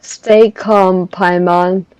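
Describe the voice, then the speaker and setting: A woman's voice: lowish voice, fairly even intonation, drawn-out words, a little mellow, clear, resonant tone, dry recording, poor audio. A woman commenting and reading along during a video game playthrough. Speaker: game streamer.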